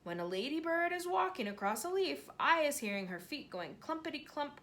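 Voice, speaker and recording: A woman voicing a huge, gentle male giant who speaks with jumbled grammar and made-up words, earnest and full of wonder. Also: sits high, big pitch swings, brisk, pitched above the performer's own voice.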